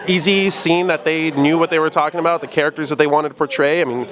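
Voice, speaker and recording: A man's voice: a little high, steady tone, fast talker. A man interviewing guests in a casual, enthusiastic, chatty way, speaking as a fan. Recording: crowd noise outdoors.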